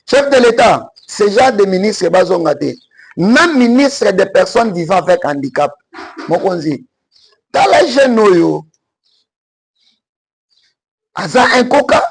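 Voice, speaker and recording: A Congolese man with a Congolese French accent delivers emphatic political commentary, mixing French and Lingala. His voice sits high for a man, with a gravelly, husky edge. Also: sing-song, takes it slowly, long pauses, poor audio. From Congo (DRC).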